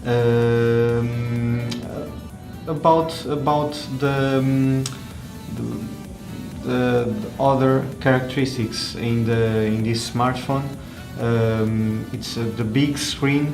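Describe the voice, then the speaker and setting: Halting man over music in an echoey room. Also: mid-range voice, fairly even intonation, gravelly. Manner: halting, hesitant delivery with frequent pauses and repeated words. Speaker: tech reviewer presenting a phone. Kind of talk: unscripted hands-on product review.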